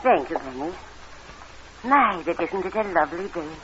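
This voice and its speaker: An actress playing a frail, fretful old aunt, wavering and fussy, in an old radio drama. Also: female voice, fairly low pitch, expressive, normal speed, lightly acted. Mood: genteel, fussy. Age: older. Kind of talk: 1940s radio drama acting. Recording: muffled, noisy old radio recording.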